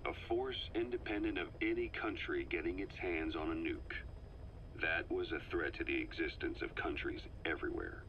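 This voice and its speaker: A man playing a businesslike middle-aged military comrade in a video game, gruff and matter-of-fact, on a dull tape recording. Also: low-pitched, normal speed. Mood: grave, explanatory. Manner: matter-of-fact, conversational, terse. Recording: dull cassette-tape sound with hiss.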